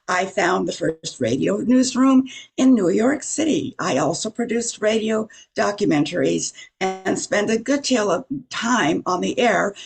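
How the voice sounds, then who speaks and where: An older woman playing a brisk, self-assured, wry radio newsroom boss in a 1940s-style radio comedy, with a creaky, husky voice. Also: medium pitch. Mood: proud.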